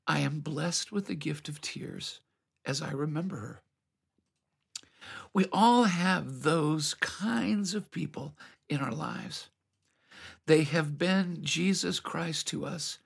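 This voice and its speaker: A man hosting a devotional program, reading a scripted message in an earnest, reflective, unhurried way. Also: fairly high pitch, vocal fry, husky.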